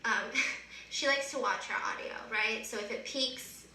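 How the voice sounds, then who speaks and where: A woman in her twenties with a high-pitched, loud voice, earnestly recounting a personal story. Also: a little slow. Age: 20s. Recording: roomy, distant sound with faint noise from a played clip.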